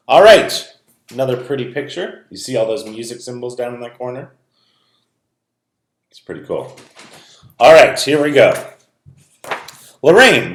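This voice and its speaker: A man speaking as a music teacher, informally explaining things to young students in a video lesson and read-aloud. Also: fairly low pitch. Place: indoor room at home. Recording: roomy indoor echo.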